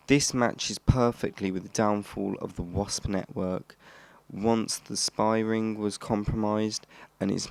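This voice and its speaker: A man's voice: lowish voice, gravelly, darkish tone. A man hosting a hobby explainer, reading scripted narration and now and then stumbling over his words. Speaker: host of a radio hobby channel.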